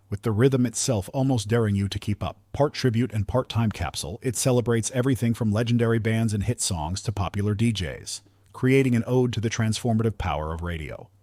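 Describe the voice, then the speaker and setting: A man's voice: on the low side, warm tone, dry recording, clean studio sound. A man narrating a scripted countdown in a polished, earnest delivery, as the narrator.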